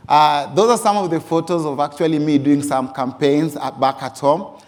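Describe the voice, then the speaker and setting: A man's voice: a little high, fairly even intonation, normal speed. A man from Kenya with a Kenyan accent, a youth organization founder giving a personal presentation and often stressing "actually". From Mombasa, Kenya. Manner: frequent emphatic use of "actually". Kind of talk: personal presentation with photo slides.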